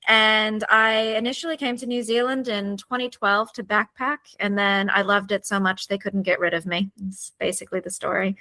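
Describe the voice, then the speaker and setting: An American woman, a political candidate, answering interview questions earnestly with many ums and pauses. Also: mid-range voice, bright, forceful tone, no room sound. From United States.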